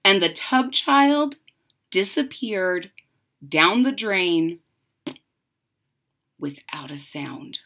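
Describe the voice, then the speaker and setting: Casting: female storyteller, 30s-40s, lively pitch swings, unhurried, emphatic delivery for young listeners. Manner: deliberate, dramatic emphasis. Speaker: storyteller reading a picture book aloud. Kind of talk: expressive children's read-aloud with chatty asides. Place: room at home.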